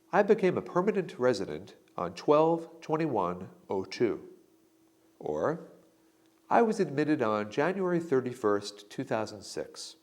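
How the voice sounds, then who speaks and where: Slow, lively male instructor voice. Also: mid-range voice. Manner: slow, deliberate, model answers read with emphasis. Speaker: instructor giving sample answers. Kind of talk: scripted instructional narration.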